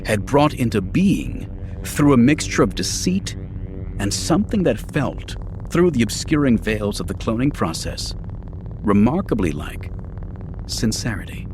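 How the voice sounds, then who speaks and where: A man reading dramatic science fiction narration for an audiobook in a measured, serious, polished way. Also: medium pitch, sing-song, background noise.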